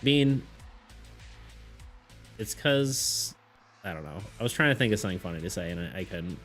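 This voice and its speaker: A man's voice: low voice, expressive, normal speed, fairly bright tone. A man chatting casually with his viewers on a live stream, rambling, restarting his sentences and laughing often. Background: faint background music.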